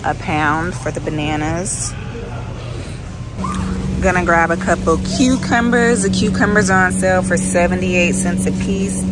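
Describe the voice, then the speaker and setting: A woman chatting informally as she narrates her shopping, recorded on a handheld phone inside a large grocery store. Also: lowish voice. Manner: informal, conversational. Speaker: shopping vlogger. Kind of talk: casual shopping chat, narrating as she goes. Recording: clear store ambience noise behind voice. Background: supermarket background noise.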